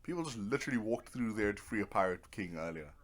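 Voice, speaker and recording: A man's voice: fairly low pitch, lively intonation, fast. A man giving casual let's-play commentary, offhand and muttering to himself as he plays. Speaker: gamer playing and narrating a video game.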